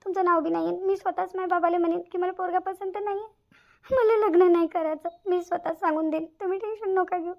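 A woman's voice: extremely high pitch, fairly even intonation, fast, gravelly, highs cut off. A woman with a Varhadi Marathi accent voicing a selfless, earnest young bride-to-be in a cartoon family drama. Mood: resigned, anxious. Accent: Varhadi Marathi from Vidarbha. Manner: earnest, repeats phrases emphatically. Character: anxious, selfless young bride-to-be, tenderly devoted.

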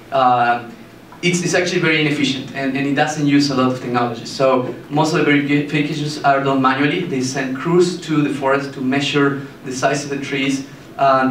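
A man's voice: medium pitch, a bit bright. Man presenting in an echoey room. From Argentina. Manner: halting, many fillers and restarts. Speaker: startup founder giving a talk. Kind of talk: presentation to an audience. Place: meeting room with a projector screen, indoors. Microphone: handheld mic, filmed by a far camera. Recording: echoey room, mic far off, faint hiss.

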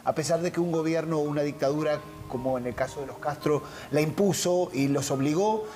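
A man's voice: a little high, brisk, warm tone, faint music behind. A man co-hosting a studio talk show, trading teasing banter on a lapel mic. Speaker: co-host. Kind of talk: casual chat. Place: TV studio set.

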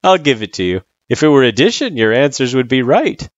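A storyteller plays an easygoing guy in a story reading, tutoring with patience. His speech is casual and slangy, with lively swings in pitch. The recording is dry and slightly dull. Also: a little fast, no echo, lightly acted. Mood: patient, thoughtful.

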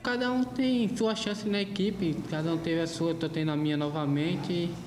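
A man's voice: on the high side, normal speed. Young Brazilian man in an echoey room. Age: young adult. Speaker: footballer. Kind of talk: press conference answer. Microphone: table press microphones. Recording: echoey room, music behind.

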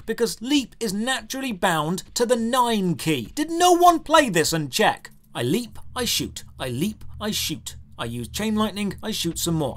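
A man narrating a scripted comedic video game review in a sarcastic, exasperated, emphatic way. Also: high voice.

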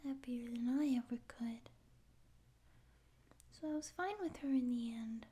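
A young woman acting a naive, pouty stray catgirl in a soft, halting ASMR roleplay voice. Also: a little high, fairly even intonation, lightly acted. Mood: plaintive, wistful. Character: naive, tearful stray catgirl would-be space pirate. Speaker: ASMR voice actor. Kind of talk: ASMR roleplay audio drama.

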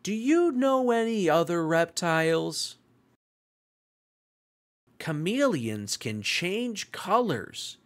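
A read-along host reading aloud for young children in a deliberate, exclamatory and animated way. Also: medium pitch.

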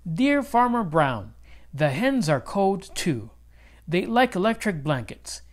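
A man puts on demanding barnyard cows from a picture book, pitched well up. The notes come out formal and polite, measured and insistent. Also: big pitch swings, heavily acted. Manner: formal, measured letter-reading. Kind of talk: children's storybook character reading.

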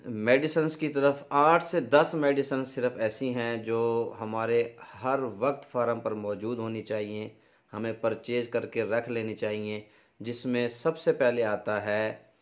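Pakistani man giving practical advice, dull sound. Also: medium pitch. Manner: practical, instructive. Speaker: dairy farming advice presenter. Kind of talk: informal instructional explanation to camera.

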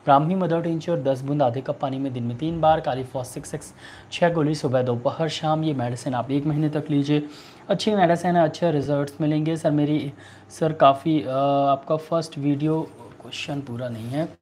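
A man in his thirties with an Indian accent, a doctor answering viewer questions in a halting, repetitive way. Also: a little high, steady tone, a little mellow, a little hiss or hum. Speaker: homeopathic doctor hosting a live online clinic. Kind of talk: answering viewer questions, giving remedy advice. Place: small furnished room indoors.

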